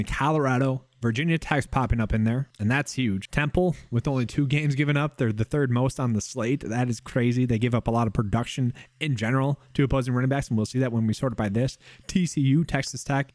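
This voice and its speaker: A man rattles through sports betting picks at a quick clip, chatting casually to his viewers. His voice is wearing thin and hoarse. He is recorded dry and close, with no room sound. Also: mid-range voice, dry recording. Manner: fast, conversational, addresses viewers as guys. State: losing his voice. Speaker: sports betting analyst host. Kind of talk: casual screen-share analysis.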